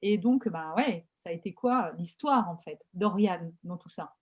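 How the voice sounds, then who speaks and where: A woman hosting an interview over a video call, chatty and energetic and using lots of fillers. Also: fairly low pitch, unhurried, breathy edge, highs cut off. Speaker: podcast host and coach.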